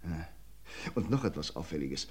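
An actor playing a calm, methodical police inspector in a vintage radio crime drama. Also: male voice, deep voice, moderate pace, slightly rough, heavily acted. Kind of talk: 1950s radio play acting.

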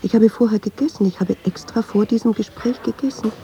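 A woman's voice: fairly high pitch, a little fast, noisy background, lightly acted. An actress playing a candid, uneasy middle-aged woman in a radio drama, speaking reflectively in short, observant clauses. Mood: uneasy, observing. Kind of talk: radio drama dialogue and inner monologue.

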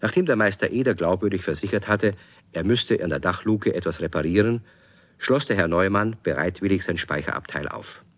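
A man's voice: sits low, brisk, close to an everyday voice. A man giving scripted narration as the storyteller of a children's radio play.

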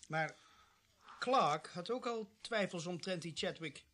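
Dry, cheeky policeman, highish voice. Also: lively intonation, slow, pushed and bright, full character voice. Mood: dry, needling. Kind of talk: naturalistic radio play acting.

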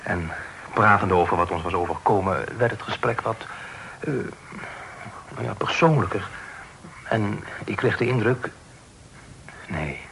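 An actor playing an earnest, deferential young son in love in a period radio drama, hesitant, polite and formal. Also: male voice, lowish voice, a little slow, gravelly, pauses often, a bit bright. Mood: hesitant, pleading. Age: young adult. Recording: noisy old radio play recording.

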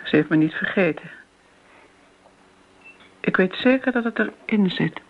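Elderly genteel lady, creaky voice, wistful and chiding, radio drama, muffled noisy recording. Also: mid-range voice, animated, a little slow. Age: older. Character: elderly genteel woman, reminiscing, self-righteous old family friend. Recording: muffled old radio play, background noise.

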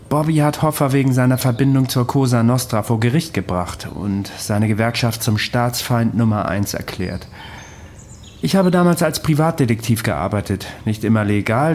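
An actor playing an unrepentant, self-assured former private detective and killer, speaking matter-of-factly in a radio drama. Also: male voice, mid-range voice, darkish tone, slight background noise, lightly acted.

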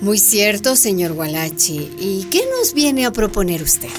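A woman's voice: medium pitch, big pitch swings, a little slow. A courteous female mayor in a radio drama. She sounds interested and very melodic, with music behind her. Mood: polite, intrigued. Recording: music under the voice.

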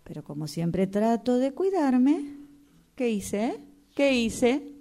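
A woman's voice: fairly high pitch, very animated, slow, dark tone, exaggerated voice. A woman acting in a radio drama as a desperate, self-pitying client with a Rioplatense Argentine accent, telling her woes dramatically. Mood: animated complaining. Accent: Rioplatense Argentine Spanish. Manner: dramatic, complaining storytelling.

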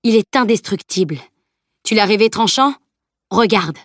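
A woman's voice: medium pitch, expressive, moderate pace, fairly bright tone, dry recording, clean studio sound, pitched above the performer's own voice. A woman reading as a stern, grumbling old male teacher who lectures severely. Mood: lecturing.

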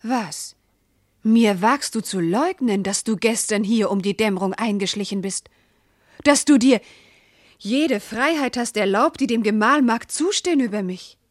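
An actress playing a dignified, wounded but steadfast noble wife in a classical verse radio drama, with elevated, earnest declamation. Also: female voice, on the high side, lively intonation, a little slow, no room sound, studio quality. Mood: hurt indignation.

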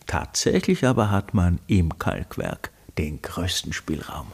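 An actor playing an obsessive, embittered male recluse in a radio drama monologue, brooding and insistent in halting phrases, with a breathy voice. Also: deep voice, animated, very noisy, full character voice. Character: obsessive, embittered recluse, a man devoted to his study.

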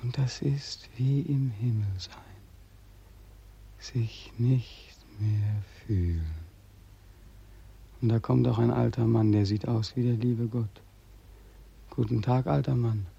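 Bitter worn-out young soldier home from war, breathy strained voice, slow, radio drama. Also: male voice, mid-range voice, dark tone, highs cut off, exaggerated voice. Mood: dreamy, fading. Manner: anguished, rhetorical, repeating himself. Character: despairing war-returned young man Beckmann, bitter and exhausted. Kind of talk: German radio drama acting.

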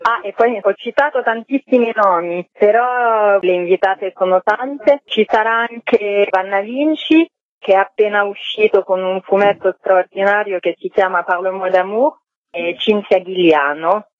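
A woman's voice: medium pitch, measured pace, dry recording. Woman, phone interview guest, thin telephone sound, talkative, enthusiastic, event organizer. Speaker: festival organizer being interviewed. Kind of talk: spontaneous radio interview answers. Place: phone call into a radio programme. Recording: muffled narrow-band phone line.

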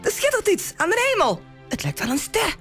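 A high-voiced, nagging Brabant wife. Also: female voice, huge pitch swings, brisk, fairly bright tone, faint music behind, heavily acted. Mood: wondering. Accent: Brabant Dutch. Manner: chiding, down-to-earth. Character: nagging, practical pregnant village wife in biblical Brabant. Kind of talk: comic radio play.

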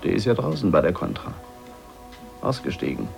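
An actor playing a guarded male informant in a radio drama, gravelly and clipped in his reporting. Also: on the low side, sing-song, moderate pace, soft background music, full character voice.

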